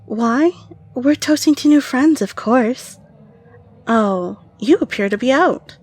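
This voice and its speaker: A woman performs a flirtatious female fae in an audio drama. She is coy and possessive, drawing out her words at an easy pace. The voice is a little husky, with a teasing, sultry manner. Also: a little high, expressive, slow, a little mellow, voice pushed up, heavily acted. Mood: flirty, coy. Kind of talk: scripted audio roleplay.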